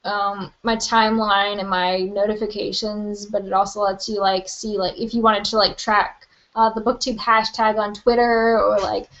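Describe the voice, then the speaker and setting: A young woman hosting a live book Q&A from her webcam, chatty and rambling with lots of "like" and "um", her voice a little hoarse. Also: mid-range voice, flat delivery, moderate pace, fairly bright tone, muffled recording. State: slightly hoarse, losing her voice. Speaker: book vlogger. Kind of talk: live-stream Q&A.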